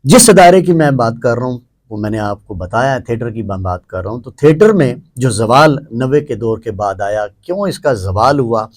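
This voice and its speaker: A man's voice: mid-range voice, animated, hardly any pauses. A man with a Pakistani accent hosting a talk show, setting out an issue and putting questions with emphatic repeated phrases. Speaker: host.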